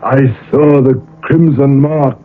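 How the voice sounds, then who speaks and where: An actor playing an anguished middle-aged father in a radio drama, speaking and narrating with slow, intense deliberation. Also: medium pitch, steady tone, lightly acted. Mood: grave, suspenseful. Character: anguished father Max, brooding, guilt-ridden, driven to violence. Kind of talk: radio drama acting and first-person narration. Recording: muffled phone-like old radio, faint music.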